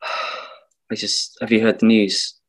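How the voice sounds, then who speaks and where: A man's voice: on the low side, little pitch movement, slow pace, fairly bright tone, slightly rough, voice pushed down, exaggerated voice. A man playing a downcast, sentimental fellow with a hesitant, sighing delivery in improvised comedy. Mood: glum, wistful. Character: downcast, sentimental man mourning small lost rituals.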